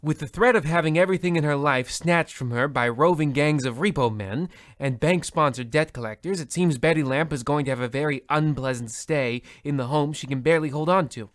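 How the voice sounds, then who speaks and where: A man's voice: fairly high pitch, fairly quick, hardly any pauses, no room sound, clean studio sound, close to an everyday voice. A man playing a pompous, melodramatic radio narrator who rambles pedantically, in a parody of old-time radio. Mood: grandiose.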